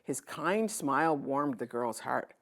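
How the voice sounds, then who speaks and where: Female storybook narrator for children, low register with very animated delivery. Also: slow.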